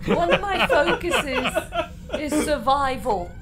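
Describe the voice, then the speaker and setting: A woman's voice: sits high, very animated, slow pace, over a noisy crowd. A woman playing a tabletop role-playing game, bantering casually with the group. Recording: noisy background ambience.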